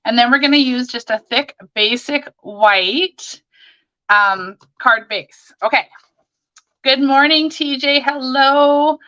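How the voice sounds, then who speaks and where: A hoarse woman hosting a live crafting stream, chatting and greeting viewers by name as she works. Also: high voice, slow pace, pushed and bright, highs cut off. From Canada.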